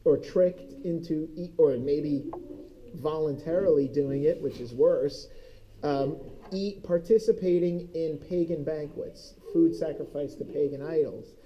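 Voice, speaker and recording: A man's voice: on the high side, a little slow, lo-fi sound, background noise. A man leading a church Bible study group, teaching conversationally and often asking "right?". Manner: conversational, keeps checking in with "right?". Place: church meeting room.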